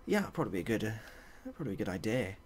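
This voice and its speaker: A man playing a mild, matter-of-fact polar bear in a storybook tale, hesitant and deadpan. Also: fairly low pitch, fast. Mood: mild, pondering. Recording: clearly audible background noise.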